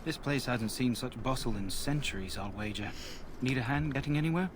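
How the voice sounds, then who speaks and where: A man playing a friendly, gossipy soldier in a fantasy video game, with a folksy, chatty delivery. His voice sits at a middle pitch. Clear background noise runs under his lines in the game audio. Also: animated, a little fast, natural voice. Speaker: soldier in a war camp.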